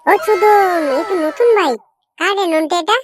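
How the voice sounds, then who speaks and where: An actor voicing a cheeky, nosy, excitable young sidekick in a comedy cartoon. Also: extremely high pitch, normal speed. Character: squeaky, cheeky small young club sidekick. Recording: clear noise behind.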